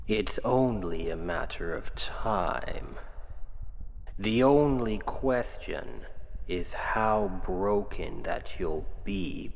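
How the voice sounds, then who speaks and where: Demon king villain, played by a man: gravelly, domineering, seductively coaxing ASMR roleplay delivery, drenched in long reverb. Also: on the low side, heavily acted. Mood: threatening, commanding. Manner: slow, deliberate, coaxing, often dropping into whispers. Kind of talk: ASMR roleplay acting, intimate and theatrical. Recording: long cavernous reverb, faint noise.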